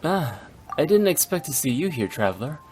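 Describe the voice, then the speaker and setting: A man's voice: fairly high pitch, sing-song, moderate pace, darkish tone. An actor playing a polite, sensible young fox-eared man in a video game, in an articulate conversational manner. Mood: friendly, wry. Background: music.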